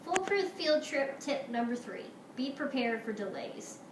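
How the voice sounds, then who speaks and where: A woman teacher doing an expressive picture-book read-aloud for her pupils. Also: sits high, unhurried, recorded from a distance. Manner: expressive, animated storytelling. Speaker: schoolteacher reading to pupils. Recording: roomy, echoey, noisy home-style recording.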